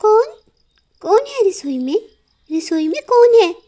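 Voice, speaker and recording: A woman's voice: very high voice, big pitch swings, slow, mellow, pitched above the performer's own voice, exaggerated voice. A woman voicing a caring but firm mother sparrow in a cartoon story. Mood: alarmed.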